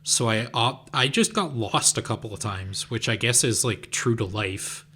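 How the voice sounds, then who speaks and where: A man co-hosting a podcast, talking casually about games in a relaxed, hedging way. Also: lowish voice, normal speed, slightly rough. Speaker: podcast co-host. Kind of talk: casual chat.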